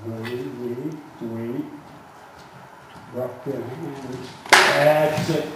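A man's voice: medium pitch, fairly even intonation, extremely slow. A man coaching riders at a show jumping clinic, calling out terse, emphatic instructions and repeating short commands. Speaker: horse riding coach. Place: large covered indoor riding arena. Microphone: distant camera at the side of the arena. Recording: echoey riding arena, distant mic, some noise.

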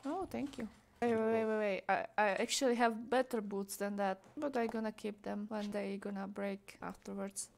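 A woman's voice: medium pitch, steady tone, moderate pace, creaky, a little mellow. A young woman with a Slovak accent, chatting casually and giggling while playing a game with her partner. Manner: giggly, chatty, easily amused. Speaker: co-host gamer. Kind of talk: casual gameplay chat.

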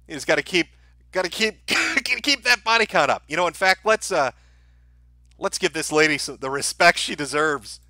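Man, livestream host, high-pitched, bright forceful voice, sardonic, mocking, casual commentary, swears freely. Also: sing-song, moderate pace, no room sound, clean studio sound.

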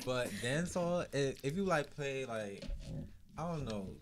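A young man from Jamaica with a Jamaican and Brooklyn accent, chatting softly as a podcast guest, often trailing off with fillers. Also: medium pitch, expressive, measured pace, slight background noise. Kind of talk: casual chat.

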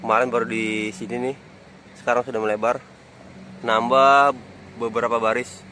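A man's voice: medium pitch, normal speed, a little hiss or hum. A man giving a casual walking tour of a stadium construction site, pointing things out as he goes, filmed outdoors on a phone. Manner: relaxed, pointing things out as he walks. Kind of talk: casual walk-and-talk commentary. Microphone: phone camera held low while walking.

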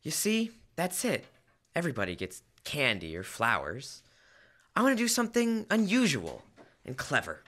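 A man's voice: a little high, huge pitch swings, normal speed, pushed and bright, exaggerated voice. A young man playing an earnest, flustered husband in an old-time radio comedy. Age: teens to young adult. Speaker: student actor. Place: school library room, staged performance. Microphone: stand microphone on stage.

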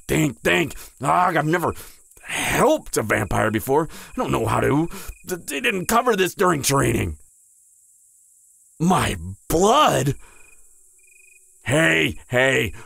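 A man performs a proud male vampire hunter in an intimate audio roleplay, hurt and stammering through the pain. His voice is raspy and creaky, recorded close and dry. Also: a little high, big pitch swings, fairly bright tone, no room sound, heavily acted. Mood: panicked, urgent. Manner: stammering, halting, breathy sighs and groans. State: in pain, strained.

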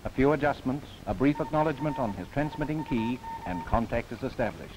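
Male narrator for a 1930s government film, formal and measured, medium pitch, faint hiss. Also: animated. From New Zealand. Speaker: documentary narrator. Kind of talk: scripted narration, 1930s newsreel. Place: studio voice-over.

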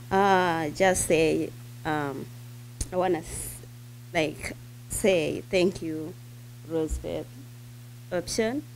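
Slow, gravelly non-native woman speaking haltingly. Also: fairly low pitch, slight background noise, rough recording. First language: not English. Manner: halting, searching for words. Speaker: parent speaker. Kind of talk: unscripted remarks at a school board meeting. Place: school board meeting room. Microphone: podium microphone.

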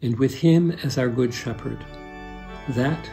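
An American man in his seventies giving a scripted sermon as a pastor, measured and deliberate, with pauses. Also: medium pitch, vocal fry, warm tone, faint music behind. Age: 70s. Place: furnished room with fireplace, indoors.

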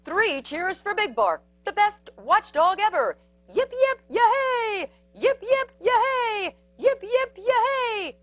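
A woman voicing a crowd of excitable, squabbling storybook prairie dogs, exclaiming and chanting. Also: lowish voice, a little slow, hardly any pauses, breathy edge, no echo, soft background music, voice pushed down, heavily acted. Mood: cheering.

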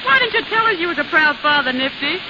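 An actress playing a brash, wisecracking, slangy carnival snake charmer in a 1930s radio drama. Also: female voice, high-pitched, sing-song, fast. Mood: amused, teasing. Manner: slangy, sassy, sharp-tongued. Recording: noisy, muffled 1930s radio broadcast.

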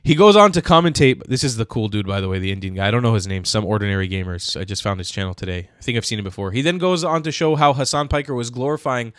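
A man's voice: medium pitch, expressive, fast talker, few pauses, smooth, no echo. A young man from the United States ranting angrily and sarcastically in his own online commentary, speaking into a studio mic in a small room. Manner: heated, sarcastic, swearing. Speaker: commentary youtuber. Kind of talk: angry commentary rant. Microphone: studio mic on stand, headphones.

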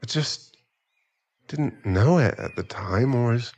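A man's voice: mid-range voice, expressive, measured pace, darkish tone. A man playing a remorseful sugar-daddy boyfriend in an ASMR audio roleplay, hesitant and stammering as he earnestly pleads for forgiveness. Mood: pleading, regretful.

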